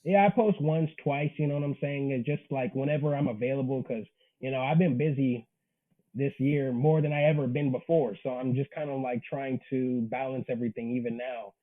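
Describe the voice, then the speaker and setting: A man's voice: medium pitch, steady tone, a little fast, narrow, tinny audio. A man in his twenties chatting as an interview guest, earnest and slangy. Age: 20s. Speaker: interview guest, manga creator. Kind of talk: casual interview chat.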